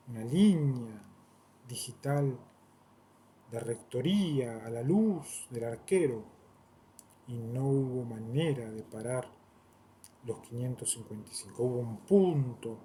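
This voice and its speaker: Guy rambling on solemnly, mid pitch, repeats himself a lot, bit of faint hiss. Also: mellow. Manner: solemn, declaiming, repeats phrases for emphasis. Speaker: self-styled theologian. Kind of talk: rambling solo monologue.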